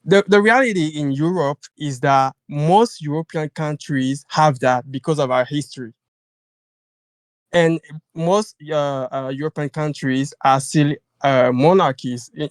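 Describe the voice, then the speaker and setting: A man's voice: mid-range voice, no room sound. French guy calling in, non-native english, lots of uh's, dry close audio. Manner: halting, apologetic, many fillers. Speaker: caller. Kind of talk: casual call-in question.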